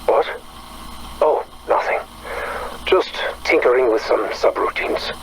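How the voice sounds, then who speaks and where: A man's voice: medium pitch, slow pace, close to an everyday voice, poor audio. Casting: male actor as absent-minded, evasive scientist, mild and hesitant, natural game dialogue with faint noise behind. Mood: evasive, pensive.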